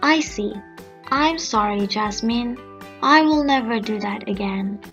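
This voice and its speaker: A woman's voice: fairly high pitch, measured pace, a bit bright. A woman voicing a lazy, envious young female fairy in a storybook tale. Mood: apologetic. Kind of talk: storybook character voice. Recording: muffled narrow-band audio under music.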